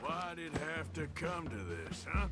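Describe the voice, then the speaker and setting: An actor playing a rough, threatening outlaw debt collector in a Western video game. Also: male voice, fairly high pitch, very animated, over loud music. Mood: menacing. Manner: threatening, slow drawling delivery. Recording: game audio under noisy ambience.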